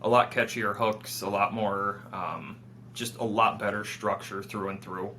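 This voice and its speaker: A man chatting casually as an interviewed band musician, haltingly repeating his words. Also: fairly low pitch, little pitch movement, bright, forceful tone, slight background noise.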